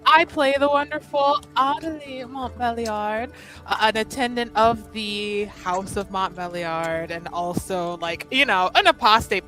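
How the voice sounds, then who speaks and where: A woman's voice: on the high side, sharp tone. A woman introducing herself casually as a tabletop game player, wry and informal. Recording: music behind the voice. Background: music.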